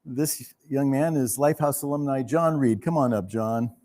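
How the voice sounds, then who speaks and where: A man's voice: medium pitch, moderate pace, mellow. A man hosting an event, chatting casually on stage with a guest. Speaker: event host interviewing a guest.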